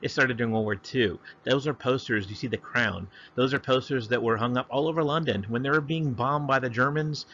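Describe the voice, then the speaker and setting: A man's voice: mid-range voice, brisk, hardly any pauses, sharp tone, lo-fi sound, a little hiss or hum. A man teaching history in a loose, conversational way, talking over slides with frequent ums and restarts. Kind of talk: casual lecture over slides.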